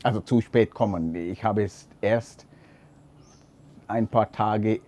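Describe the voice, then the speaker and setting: Guy cohosting a podcast, super slow and halting, warm low voice. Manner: hesitant, halting, many fillers and restarts. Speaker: podcast co-host. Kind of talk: casual chat. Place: outdoors in a garden.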